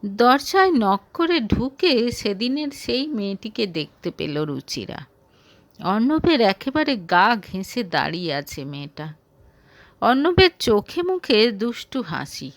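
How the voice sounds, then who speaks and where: A woman narrating a romantic audio story in an expressive, emotive, dramatic way. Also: fairly high pitch, unhurried, dry recording.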